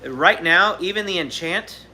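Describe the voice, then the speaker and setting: A man's voice: sits high, expressive, a little slow, pushed and bright, slight background noise, rough recording. A man in his thirties hosting a live question-and-answer stream, talking casually and rambling with fillers and restarts. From Southern California. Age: 30s. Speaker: livestream host.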